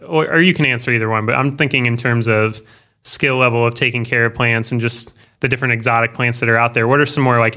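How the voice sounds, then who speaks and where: A man with a medium-pitched, bright and very clear voice hosts a casual interview. He talks in a loose, rambling style, rephrasing himself as he works toward a point. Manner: rambling, self-correcting questions. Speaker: podcast host.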